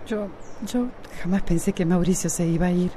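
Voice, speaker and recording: A woman's voice: on the low side, steady tone, moderate pace, warm tone, slight background noise, natural voice. A woman playing a troubled, despondent character confiding in someone, in a radio drama with a Costa Rican accent.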